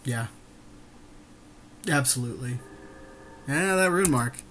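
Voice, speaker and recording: A man's voice: medium pitch, expressive, slow pace, a bit bright. Man in casual gaming chat, faint noise. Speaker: podcast co-host playing an online card game.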